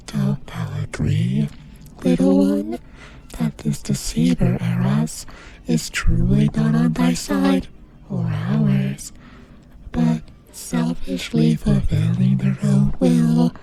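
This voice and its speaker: A woman's voice: on the high side, vocal fry, dark tone, heavily acted. A woman voicing a menacing, ancient demon lord who speaks in archaic, pompous commands in a horror audio roleplay. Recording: music behind the voice.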